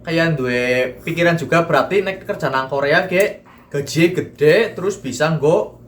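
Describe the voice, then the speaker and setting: A young man from Cilacap with a Banyumasan ngapak accent, hosting a casual group Q&A and chatting in a relaxed way. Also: on the high side, a little slow, a little hiss or hum. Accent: Banyumasan Javanese (ngapak). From Cilacap, Central Java. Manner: relaxed, chatty, mixes dialect into Indonesian. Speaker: vlogger and host.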